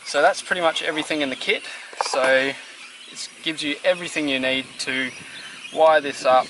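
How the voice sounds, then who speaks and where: A young man talks through a car job in a relaxed, chatty way, dropping in lots of little fillers as he goes. His voice sits at an ordinary middle pitch. He is recorded outside, with clearly audible crickets and insects in the background. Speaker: DIY car channel host. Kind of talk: casual how-to demonstration. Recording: outdoor ambience with insect noise.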